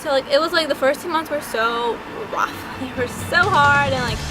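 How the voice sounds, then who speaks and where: A young woman with a high voice chats through a casual storytime, filling her sentences with "like" and slipping into the voices of her younger self. Loud music plays under her the whole time. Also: normal speed. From United States. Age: young adult. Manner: chatty, peppered with "like", acts out her past self. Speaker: vlogger.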